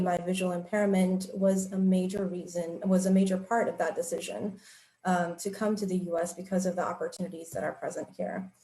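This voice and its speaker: A woman's voice: on the low side, warm tone. A woman in her thirties from Pakistan, a panelist who tells her personal story in a reflective way with a touch of dry humor. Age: 30s. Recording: echoey room.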